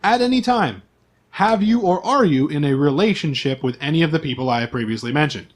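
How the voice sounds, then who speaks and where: Higher-pitched man running a tabletop game. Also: animated, brisk. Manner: informal, list-like delivery with asides. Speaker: game master. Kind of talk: reading out character profiles for a tabletop game.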